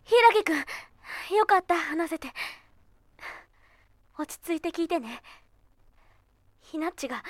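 An actress playing a composed young college woman speaking gravely and carefully in a Japanese voice drama. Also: extremely high pitch, animated, takes it slowly, long pauses, dry recording, full character voice. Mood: grave, urgent. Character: composed young woman, older college club friend breaking grave news. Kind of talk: Japanese audio drama acting.

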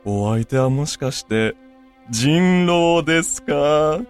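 A man's voice: on the high side, expressive, clean tone, faint music behind. A man playing a coldly polite, mocking villain in an audio drama, speaking smugly with a sneer under the courtesy. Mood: gleeful taunting. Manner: slow, smug, politely sneering. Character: coldly polite, mocking male villain who hunts werewolves. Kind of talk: Japanese audio drama acting.

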